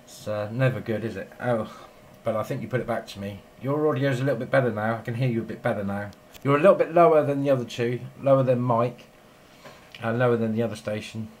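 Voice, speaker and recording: A man's voice: medium pitch, fast. Chatty man with background noise. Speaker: amateur radio operator and video maker. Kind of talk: casual radio chat while filming. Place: home radio shack.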